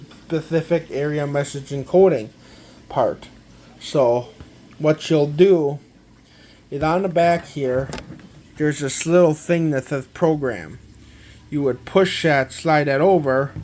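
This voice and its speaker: A man gives a casual, halting, step-by-step product walkthrough, often repeating himself. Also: a little high, a little mellow, slight background noise. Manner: halting, repetitive, step-by-step explaining. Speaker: amateur gadget demonstrator.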